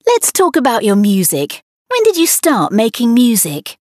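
A woman playing a cheerful host of a children's internet radio show, asking clearly enunciated, upbeat questions in a scripted textbook dialogue. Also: a little high, huge pitch swings, normal speed, darkish tone, dry recording, clean studio sound.

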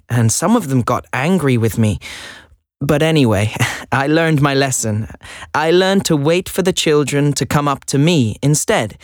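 A man's voice: on the high side, dry recording, studio quality. An actor playing a sixteen-year-old boy, a native Swedish speaker, in a job interview, chatty and a bit hesitant with nervous laughs. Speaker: job applicant. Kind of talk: scripted listening dialogue.

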